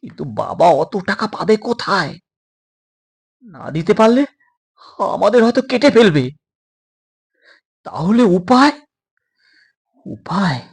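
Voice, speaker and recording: Narrator doing a brave worried kid held captive, audio story, higher husky voice, uneasy n urgent. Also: big pitch swings, slow, pauses often, exaggerated voice. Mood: worried, reasoning. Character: brave, worried adolescent boys held captive, reasoning together. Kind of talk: dramatized audio story dialogue.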